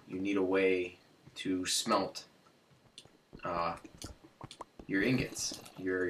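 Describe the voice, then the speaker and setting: A man's voice: sits low, a bit bright, a little hiss or hum, poor audio. A young man with a stuffed-up nose hosting a casual game tutorial, rambling with many fillers and restarts. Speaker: Minecraft tutorial host.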